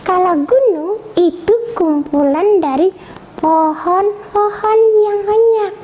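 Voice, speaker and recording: A young girl telling a simple story in a halting, sing-song way. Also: very high voice. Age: child. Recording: dull lo-fi sound, faint noise.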